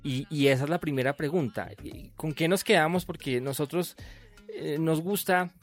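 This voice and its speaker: A man's voice: on the high side, moderate pace, soft background music. A man hosting an interview, chatty and full of fillers and restarts. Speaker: radio host.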